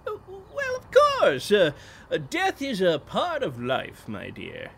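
An actor playing an older, folksy and evasive small-town mayor in an audio drama, with an avuncular, hesitant manner. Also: male voice, high-pitched, huge pitch swings, slow, creaky, a bit bright, breathy edge, full character voice. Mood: uneasy.

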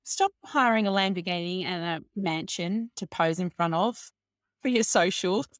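A woman's voice: on the low side, a little slow, no room sound. A woman guest on a podcast who talks about business bluntly and cheekily, with strong emphasis. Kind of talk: casual interview chat.

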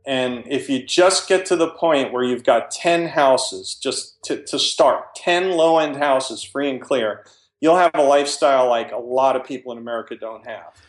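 A man's voice: mid-range voice, moderate pace, reverberant. A man, a real estate investor, talking casually in an interview and telling stories with frequent restarts. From New Jersey.

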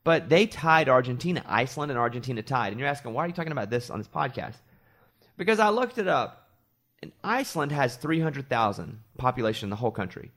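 A man's voice: medium pitch, expressive, fast talker, fairly bright tone. A man from Arkansas, a morning show host, chatting casually on a podcast and telling rambling stories with self-deprecating asides.

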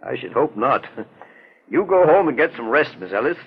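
An actor playing a kindly, shrewd older investigator in a 1940s radio detective drama, courteous and dryly questioning. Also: male voice, mid-range voice, sing-song, a little slow. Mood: kindly, concerned. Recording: dull, muffled 1940s radio recording.

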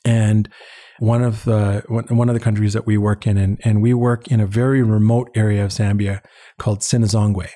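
A man speaks in a low register with a soft, relaxed tone. He is an earnest interview guest who talks conversationally and reflectively, sometimes repeating a word to stress it. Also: normal speed. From born in Uganda, later lived in Canada. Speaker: interview guest, head of an international eye-care charity. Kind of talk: conversational personal storytelling.

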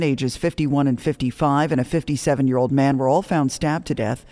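A male American radio news anchor delivers scripted local headlines. His voice sits a little high for a man, and he reads in a newsroom style. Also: a little mellow.

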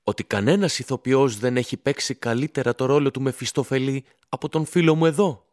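An indignant young male admirer in a radio play, acted by a man. The voice sits at a medium pitch and is recorded dry. He is emphatic and challenging, full of ardent loyalty. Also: a little mellow, no echo, natural voice. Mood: indignant challenge.

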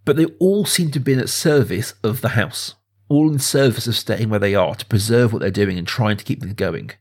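A man's voice: lowish voice, brisk, breathy edge. A man hosting a podcast, talking casually about film in an emphatic way, often repeating phrases for stress. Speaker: podcast host.

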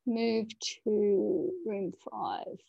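Slow-talking woman on dull call audio. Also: medium pitch, steady tone, gravelly, no room sound. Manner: hesitant, full of ums while multitasking. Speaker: co-host managing breakout rooms. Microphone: video call.

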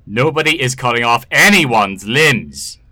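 An actor playing a wounded, defiant male hostage in an audio drama, sardonic and shouting in pain. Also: mid-range voice, lively intonation, a little slow, no room sound, full character voice. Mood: agonised, panicked.

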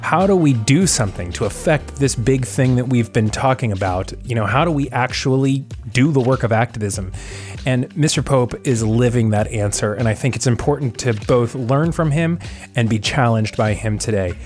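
A man's voice: medium pitch, moderate pace, a little mellow, faint music behind. A man hosting an interview podcast, reading an earnest introduction and then chatting with his guest. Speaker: podcast host.

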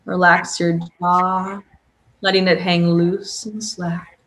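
A woman guiding a sleep hypnosis session as a hypnotherapist, calm, with drawn-out pauses and audible breaths. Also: lowish voice. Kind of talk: guided sleep hypnosis, soothing relaxation script.

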